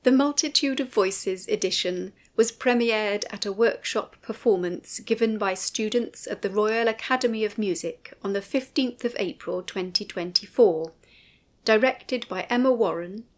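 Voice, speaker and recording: A woman reads a scripted documentary commentary in a measured, formal way. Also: medium pitch. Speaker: narrator and writer of an audio commentary.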